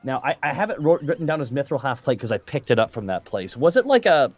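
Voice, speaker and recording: A man's voice: medium pitch, sing-song, fast talker, husky, no echo. A man playing a tabletop game, bantering casually, repeating himself and rambling in and out of character.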